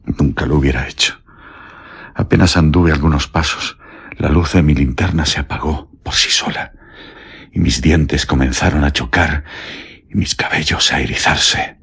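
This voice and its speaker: An actor with a Castilian accent playing a terrified, guilt-ridden prison warden confessing haltingly in a radio drama. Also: male voice, extremely low pitch, creaky, fairly bright tone, slightly rough, heavily acted. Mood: haunted, fearful. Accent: Castilian Spanish. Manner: halting, trembling, pleading. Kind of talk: Spanish radio drama acting.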